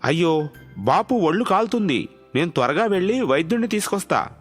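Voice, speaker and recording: Man voicing a high-pitched, humble farmer son. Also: very animated, a little fast. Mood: alarmed. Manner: earnest, deferential. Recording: music under the voice.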